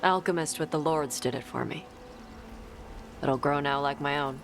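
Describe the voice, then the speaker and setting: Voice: medium pitch, moderate pace, gravelly, slight background noise, close to an everyday voice. An actor voicing a blunt young Qunari warrior, curt and casual. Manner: curt, casual, few words. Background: music.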